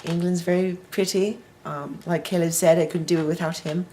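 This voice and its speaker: A man's voice: fairly high pitch, little pitch movement, normal speed, warm tone, a little hiss or hum. A teenage boy chatting playfully in a put-on British accent, joking his way through a mock interview. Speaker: co-host.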